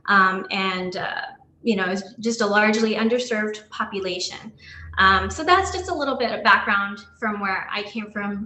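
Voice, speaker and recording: A woman's voice: mid-range voice, sharp tone, roomy sound, poor audio. A woman in her thirties from New York giving a slide talk to an online audience as a guest dentist, earnest and full of ums and restarts. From Long Island, New York.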